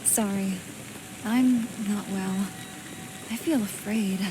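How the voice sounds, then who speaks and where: Frightened, ailing game woman, played by an actress, mid-pitched, dragging and breathless. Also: steady tone, slow, lightly acted. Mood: afraid, weak. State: weak, breathless. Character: frightened, suffocating unwell woman. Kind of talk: video game dialogue. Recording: rain and ambient noise.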